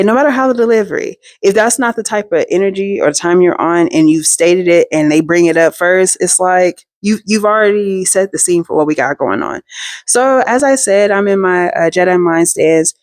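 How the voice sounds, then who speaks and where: A woman hosting her own storytime show, telling a personal story in a chatty, slangy and animated way, full of asides to her viewers. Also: lowish voice, no room sound. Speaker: storytime host.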